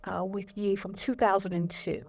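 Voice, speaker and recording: A woman's voice: mid-range voice, little pitch movement, normal speed, creaky, breathy edge. A woman interviewing a poet on stage, enthusiastic and personal as she asks her questions. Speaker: interviewer, host. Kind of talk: onstage interview.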